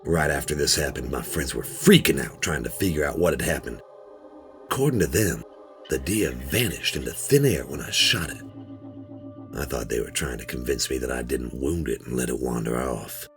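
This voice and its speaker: Man voicing a very deep-voiced folksy middle-aged storyteller. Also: animated, normal speed, vocal fry, a bit bright, full character voice. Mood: hushed, uneasy. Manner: folksy, rambling, conversational asides. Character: laid-back middle-aged male metal detector hobbyist recounting a strange find. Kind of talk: first-person horror story narration, conversational. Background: music.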